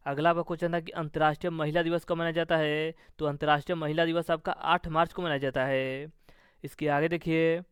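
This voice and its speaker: A man with a slightly high, clear voice works through an exam review as a coaching teacher. His intonation stays very flat as he methodically reads out each question and follows it with the answer. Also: dry recording, studio quality. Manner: methodical, repetitive question-then-answer delivery.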